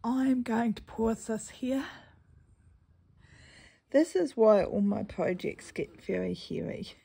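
A croaky-voiced woman with a New Zealand accent, telling a meandering story while she stitches. She talks slowly in a gravelly, slightly high voice, pausing to clear her throat now and then. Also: mellow. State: croaky morning voice. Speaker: cross-stitch vlogger. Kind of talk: casual chatty vlog while stitching.

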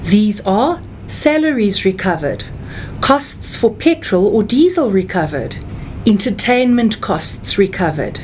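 A middle-aged woman with a South African accent gives an instructional presentation. She takes her time, with plenty of rise and fall in her pitch. There is noticeable background noise with a low rumble. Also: big pitch swings, darkish tone. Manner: measured, step-by-step, didactic. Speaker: tax seminar speaker and writer. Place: small indoor office or meeting room.

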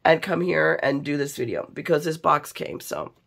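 A middle-aged American woman, sick and low on energy, chatting casually and rambling in a confiding way for a craft vlog. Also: moderate pace, creaky, fairly bright tone. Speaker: craft vlogger. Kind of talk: casual chat in an unboxing vlog. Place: indoors at a craft table.

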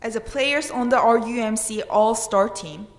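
A woman's voice: on the high side, moderate pace. A woman in her thirties with a Korean accent, preaching a sermon at a church pulpit in an earnest manner with emphatic questions. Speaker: pastor. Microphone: pulpit microphone. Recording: roomy church with long reverb.

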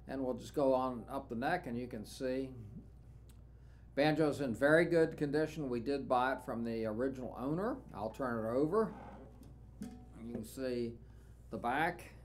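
An older man, a banjo dealer, casually walking viewers through an instrument, unhurried and dotted with "uh" fillers, recorded in a small studio room. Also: mid-range voice, slight background noise. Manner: relaxed, unhurried, with frequent "uh" fillers. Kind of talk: casual product walkthrough.

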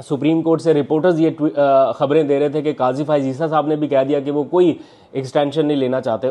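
A man's voice: on the high side, warm tone. A young man, a political journalist vlogging from his living room on a lapel mic, talking earnestly with many "uh" fillers. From Pakistan. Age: young adult. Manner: earnest analytical talk with frequent uh fillers and hand gestures. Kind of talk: political news vlog. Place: home living room, indoors.

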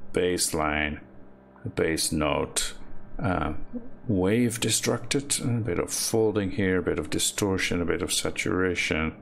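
Man, very low and gravelly voice, unhurried, halting walkthrough narration, synth music underneath. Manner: slow, halting explanation with many fillers. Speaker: modular synth patch demonstrator. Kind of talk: screen-recorded synth walkthrough. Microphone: voice-over on a screen recording. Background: synth music playing underneath.

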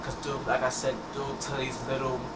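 A man's voice: mid-range voice, completely flat delivery, fairly quick, loud music behind the voice. A teenage man, rambling casually over gameplay and often restarting his words. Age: teens. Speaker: gameplay channel host. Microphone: headset mic. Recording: echoey, distant, noisy room sound.

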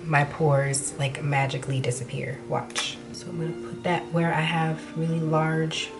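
A woman's voice: low-pitched, little pitch movement, measured pace, creaky, music in the background. A young woman in her twenties, a little nasal after being sick, chatting casually to camera while doing her makeup. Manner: chatty, trails off quietly while applying makeup. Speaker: beauty vlogger. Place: small indoor room, plain wall. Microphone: camera in front at close range.

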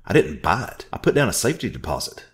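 A man voicing a fed-up young woman who shouts accusations. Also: very deep voice, wildly swooping pitch, normal speed, full character voice. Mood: indignant. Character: angry young woman heroine, fed up and indignant.

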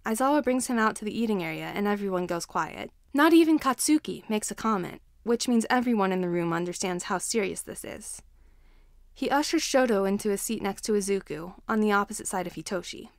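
Female story narrator, medium pitch, expressive scripted reading in a dry, echo-free space. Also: fairly quick. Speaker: fan fiction narrator.

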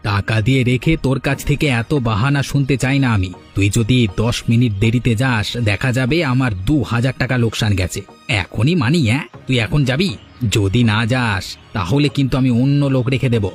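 A man's voice: mid-range voice, brisk, faint music behind, natural voice. Man playing an arrogant, taunting village boss. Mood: bossy scolding. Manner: boastful, taunting, domineering. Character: arrogant, money-proud village hotel owner, harsh boss. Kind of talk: Bengali village cartoon dialogue.

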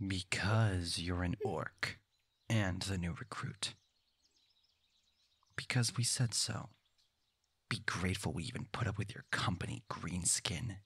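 A man's voice: low-pitched, measured pace, long pauses, fairly bright tone, slightly rough, no echo, heavily acted. A man playing a cocky, callous hunter in an audio drama, curt, bossy and sneering. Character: cocky, callous male human bounty hunter. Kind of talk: amateur audio roleplay drama.

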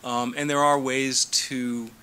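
Middle-aged male lawyer, bright voice, hesitant panel answers full of fillers. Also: medium pitch, flat delivery, slow, clean tone. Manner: hesitant, many fillers and restarts. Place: conference room. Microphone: handheld mic.

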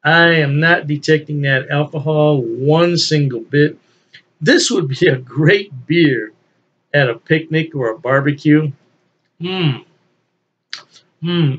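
An older man talks through a beer tasting in a relaxed, folksy way, chuckling to himself as he goes. His voice sits a little high for a man. The webcam sound is somewhat dull and lo-fi. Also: a little slow. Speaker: beer reviewer. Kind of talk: casual solo video review. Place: home office with beer memorabilia, indoors. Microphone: webcam at desk.

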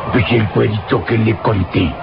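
An actor playing an excitable, folksy servant with a Mexican accent and colloquial, stammering speech in a radio drama. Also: male voice, fairly low pitch, normal speed, vocal fry, background noise. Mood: excited. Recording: muffled vintage radio recording with music.

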